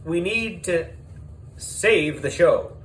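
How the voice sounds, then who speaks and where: A man's voice: a little high, expressive, extremely slow, a little hiss or hum, voice pushed up. A man voicing a brash, pushy female TV producer with emphatic showbiz hype in a children's audiobook. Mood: scheming, upbeat.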